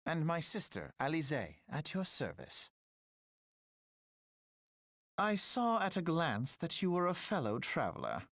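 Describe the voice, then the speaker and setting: A man's voice: a little high, huge pitch swings, unhurried, creaky, pauses often, a bit bright, no room sound, clean studio sound, full character voice. A man playing a polite, self-assured scholarly young traveler in a fantasy game, speaking formally and courteously. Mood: courteous, confident. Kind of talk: scripted fantasy game acting.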